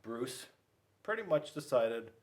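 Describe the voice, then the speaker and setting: A man reads a picture book aloud to children. His delivery is slow, with a mid-range voice that moves up and down in pitch, and he leans on dramatic pauses. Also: big pitch swings, darkish tone, far from the mic. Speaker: school resource police officer reading to children. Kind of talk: storybook read-aloud.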